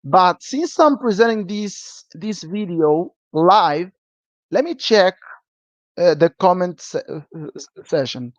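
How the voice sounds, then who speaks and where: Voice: medium pitch, animated, slow, dry recording. English teacher, keeps asking okay?, lo-fi muffled sound, dry room. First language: Portuguese. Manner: checks in with a frequent "okay?". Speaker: programming teacher. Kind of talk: live-streamed lesson. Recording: dull lo-fi dry recording.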